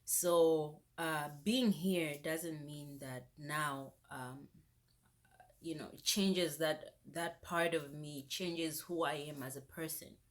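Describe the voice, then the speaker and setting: A young woman, vlogging in a casual, animated rant that turns indignant. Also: sits low, slow pace. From Zambia. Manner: animated, indignant, rises sharply when exasperated. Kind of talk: casual ranting vlog.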